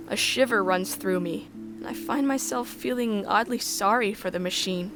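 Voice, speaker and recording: A woman narrating a sci-fi visual novel in the first person, measured and descriptive. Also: mid-range voice.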